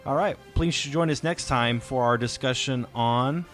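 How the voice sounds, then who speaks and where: A man's voice: medium pitch, unhurried, fairly bright tone, soft background music. A middle-aged man hosting a casual family podcast chat in an encouraging manner. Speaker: podcast host.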